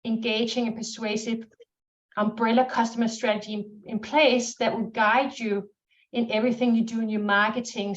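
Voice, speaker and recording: Woman, Danish accent, strategy consultant, fluent and confident, fairly high voice, dull lo-fi audio. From Denmark. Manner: confident, fluent business talk. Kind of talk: professional self-introduction.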